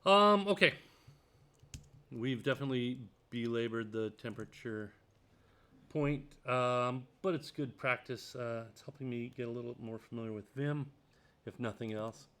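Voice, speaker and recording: A man's voice: mid-range voice, moderate pace, clear, resonant tone. A man teaching programming, reading passages from a textbook aloud and commenting as he works, with frequent ums and uhs. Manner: thinks out loud, many ums and uhs; reads passages then comments on them. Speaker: programming tutor. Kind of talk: screen-recorded coding tutorial, reading a textbook aloud.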